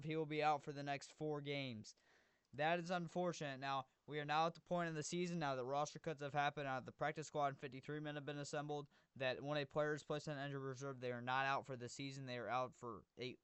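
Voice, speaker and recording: A young man, slightly hoarse from being sick, giving an energetic, rambling fan preview of his team's game. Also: on the high side, no room sound. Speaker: team channel host. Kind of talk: casual sports preview talk.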